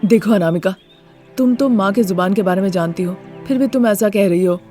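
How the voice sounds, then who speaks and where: An affectionate young husband from a moral story, voiced by a woman at a medium pitch. He is earnest and pleading, with faint music behind. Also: a little fast, warm tone, lightly acted. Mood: earnest, protesting. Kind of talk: Hindi moral story character voice.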